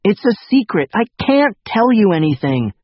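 A man's voice: sits high, sing-song, normal speed, slightly rough, no echo, muffled recording, pitched above the performer's own voice, full character voice. A man voicing a secretive, desperate young boy in an audiobook, defensive and agitated. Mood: defiant.

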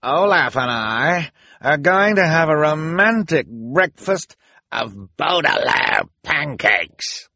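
A man voicing a cruel, scheming villainous woman who draws out her threats slowly in a husky voice. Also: fairly high pitch, expressive, pushed and bright, no room sound, pitched above the performer's own voice, heavily acted. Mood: menacing. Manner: drawn-out, sneering threats.

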